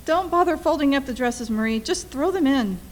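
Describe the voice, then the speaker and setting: A woman's voice: high-pitched, lively intonation, moderate pace, slight background noise. A woman playing a terrified, pleading wife in an old-time radio thriller, with anxious melodramatic delivery. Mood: hurried, tense.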